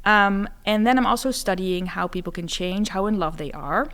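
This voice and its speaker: A woman's voice: mid-range voice, normal speed, fairly bright tone. A woman from the Netherlands, a professor explaining her research conversationally as an interview guest. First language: Dutch. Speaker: psychology professor, podcast guest.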